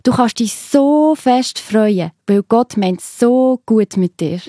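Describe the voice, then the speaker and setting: A woman with a Swiss German accent, gently narrating and explaining a Bible story to children. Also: a little high, expressive, slow.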